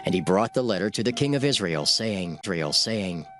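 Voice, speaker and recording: A man narrating dramatized scripture in a measured, solemn storytelling manner. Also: low-pitched, expressive, a bit bright. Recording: faint music behind the voice.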